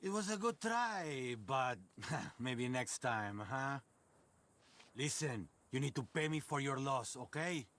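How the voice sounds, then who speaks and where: Cocky bright-voiced gambler. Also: male voice, mid-range voice, expressive, slow pace. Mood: smug. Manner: cocky, drawling, theatrical. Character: boastful roguish male gambler challenging strangers to shooting contests. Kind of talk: western video game acting.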